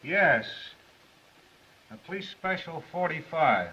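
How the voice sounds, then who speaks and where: Slow, menacing 1930s gangster. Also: male voice, on the high side, expressive. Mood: cool, insinuating. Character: menacing male gangster with a gun, cool and threatening. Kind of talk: 1930s crime film acting. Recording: dull, muffled 1930s film soundtrack.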